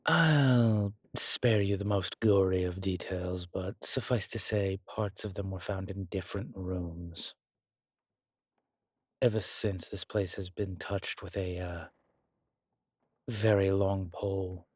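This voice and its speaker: Man voicing a slow-spoken, low-voiced, world-weary male demon. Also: husky, no room sound, heavily acted. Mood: uneasy, grim. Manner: hesitant, measured, musing delivery with dry humour. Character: wry, world-weary male demon investigating a haunted house. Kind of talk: horror roleplay audio, intimate one-sided dialogue.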